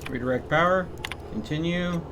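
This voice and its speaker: Higher-pitched man musing over a game. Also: normal speed, noisy background. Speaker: game streamer. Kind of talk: casual live game commentary.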